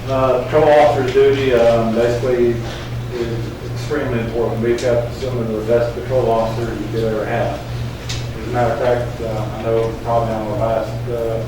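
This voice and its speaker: Soft-spoken man in echoey room. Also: medium pitch, flat delivery. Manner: soft-spoken, halting, many fillers. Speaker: sheriff's crime investigator. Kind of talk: classroom talk with slides. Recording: echoey room, mic far off, noisy.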